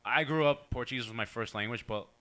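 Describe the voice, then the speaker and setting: A man's voice: mid-range voice, fast, fairly bright tone. A man from Connecticut hosting a podcast, chatting casually and joking, with his speech full of "like.". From Connecticut, USA. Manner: joking, filler-heavy, lots of "like". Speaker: podcast host. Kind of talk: casual chat.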